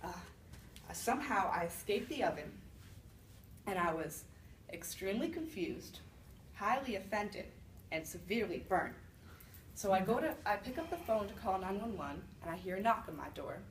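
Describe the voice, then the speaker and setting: A woman playing an indignant old widow accused of being a witch, telling her side of a fairy tale in a conversational, halting monologue. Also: medium pitch, expressive, close to an everyday voice. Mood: explaining, aggrieved. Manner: conversational, self-justifying, with halting restarts. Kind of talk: first-person storytelling monologue. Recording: roomy, distant mic with faint noise.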